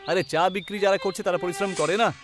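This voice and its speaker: Man acting a high-voiced, earnest young tea seller. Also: fairly even intonation, fast talker, over music, close to an everyday voice. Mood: indignant. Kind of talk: animated cartoon story voice acting.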